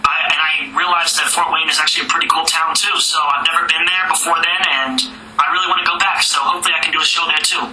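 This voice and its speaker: A man from Georgia, a rapper, answering interview questions in a relaxed, chatty way with a higher-pitched voice and small laughs. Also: quick pace. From Georgia, USA. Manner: laid-back, chatty, with small laughs and fillers. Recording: distant, roomy, noisy line.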